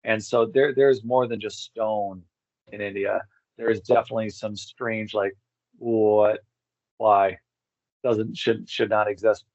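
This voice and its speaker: A man chatting casually as an interview guest, halting and informal, often repeating words for emphasis. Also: lowish voice, steady tone, a little mellow, no echo, dull audio. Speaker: interview guest, tour organizer. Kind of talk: casual rambling conversation.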